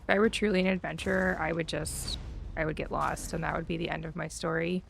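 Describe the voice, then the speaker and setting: A woman's voice: deep voice, steady tone, quick pace, creaky, noisy background. A woman chats casually while live streaming a game, thinking aloud in a rambling, self-deprecating way.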